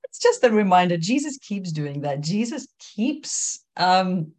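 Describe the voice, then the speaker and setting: A woman's voice: on the low side, big pitch swings, a little slow, a little mellow. A woman whose first language is Lithuanian, thoughtfully explaining scripture in a casual discussion. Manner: thoughtful, explanatory, light laughs.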